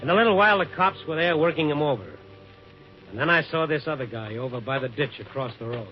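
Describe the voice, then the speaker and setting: A man's voice: fairly high pitch, quick pace, close to an everyday voice. An American man acting a wry, hard-boiled night reporter in a 1950s radio drama. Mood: tense narration. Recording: dull old radio recording, music behind.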